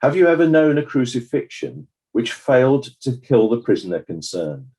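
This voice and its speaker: A man's voice: mid-range voice, very animated, normal speed, vocal fry, a little mellow. A man playing a formal, polite examiner putting direct questions to witnesses in a dramatized inquest. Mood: formal, inquiring.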